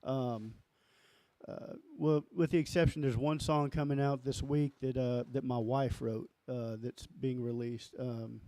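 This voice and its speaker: A man with a lower voice talking casually as an interview guest, halting and often repeating himself. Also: fairly even intonation, dark tone, dry recording. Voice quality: lower register voice. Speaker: musician and label founder guest.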